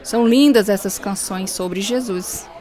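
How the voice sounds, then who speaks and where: A woman's voice: mid-range voice, unhurried, darkish tone, a faint crowd, close to an everyday voice. A woman playing a joyful, devout young choir singer in radio drama dialogue.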